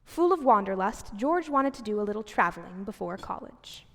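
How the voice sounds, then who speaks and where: A woman's voice: medium pitch, expressive, moderate pace, lightly acted. A woman playing a kindly storyteller who narrates a man's life in a radio drama. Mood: patient, explanatory. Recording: long hall-like reverb.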